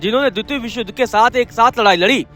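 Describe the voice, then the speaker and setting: A man with a distinctly high-pitched voice narrates the news from a script. His delivery is formal and explanatory, in the manner of a presenter laying out a report. Music plays faintly behind him. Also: rapid-fire, a bit bright. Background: background music.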